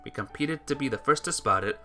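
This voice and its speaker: Bright-voiced reader narrating a story over music. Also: medium pitch, lively intonation, a little fast. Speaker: visual novel player reading the text aloud. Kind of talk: narrated reading of a sentimental story. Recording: music behind the voice.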